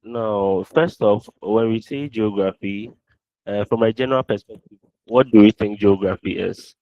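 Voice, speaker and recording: Low-voiced lecturer on a call. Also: male voice, normal speed, no room sound. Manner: questioning, draws answers from the class. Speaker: university lecturer. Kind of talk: online lecture to students. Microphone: online call audio. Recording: dull lo-fi online call audio.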